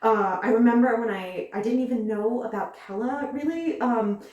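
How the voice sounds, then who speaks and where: A woman's voice: a little high, moderate pace, a little mellow, highs cut off. A woman in her thirties with an American accent, chatting as an interview guest, laughing often and restarting sentences. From United States. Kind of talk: casual interview chat. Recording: echoey room, voice a bit far from mic.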